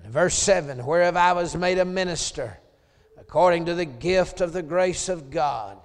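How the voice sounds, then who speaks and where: A man in his late forties with a Southern US accent, preaching a revival sermon in a church. He drops into quiet joking asides with the congregation, then climbs to hollered scripture. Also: on the high side, moderate pace, long reverb tail. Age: 40s. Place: church sanctuary.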